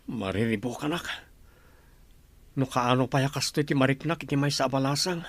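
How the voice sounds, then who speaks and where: An actor with an Ilocano accent playing a worried, deferential household underling in a radio drama, anxious and respectful. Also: male voice, medium pitch, fairly quick, no echo, close to an everyday voice.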